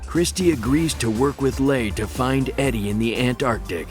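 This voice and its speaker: A male narrator delivers scripted story narration for a video game. His voice is mid-pitched, with music clearly audible behind it. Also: normal speed.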